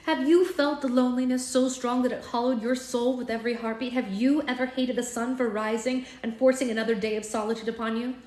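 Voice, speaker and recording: A woman's voice: mid-range voice, a little slow, darkish tone, close to an everyday voice. A woman voicing a vengeful, haughty evil enchantress from a storybook, theatrical and wounded, with dramatic emphasis. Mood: angry, anguished. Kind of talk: expressive storybook reading. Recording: roomy echo, faint background noise.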